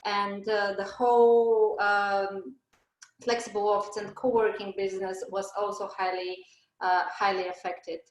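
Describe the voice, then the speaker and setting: A woman's voice: medium pitch, flat delivery, a little slow, echoey room, muffled recording. A woman from Bulgaria in her thirties hosting a business webinar over a video call, hesitant with many fillers and restarts. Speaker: webinar host. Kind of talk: presenting a webinar.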